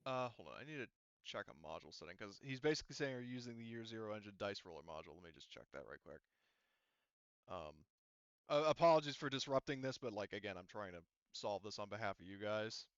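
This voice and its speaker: Guy game mastering online, smooth clear voice, dry but kinda muffled recording, mutters thru the rules. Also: lowish voice, lively intonation, fast talker, no room sound. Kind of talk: running an online tabletop roleplaying game, thinking aloud.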